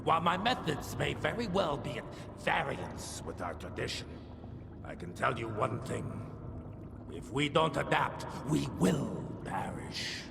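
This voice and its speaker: A man's voice: medium pitch, sing-song, measured pace, vocal fry, background noise, heavily acted. An actor playing a grandiose, scheming male vampire lord in a video game cutscene. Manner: grandiose, persuasive. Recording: long reverb, loud music.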